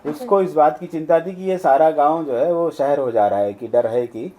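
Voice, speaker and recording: A man's voice: on the high side, normal speed, dark tone, highs cut off. A man hosting a casual interview podcast in a teasing, jovial manner, recorded with some faint background noise. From Awadh, north India. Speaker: podcast host.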